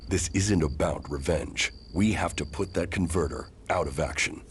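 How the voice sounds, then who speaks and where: An actor playing a stoic, terse ex-commander in a video game, with a gravelly, deliberate delivery. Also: male voice, low-pitched, slight background noise, close to an everyday voice. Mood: stern, determined. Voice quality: gravelly, creaky. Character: stoic deep-voiced male ex-commander, deserter turned war fighter. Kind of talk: dramatic game dialogue.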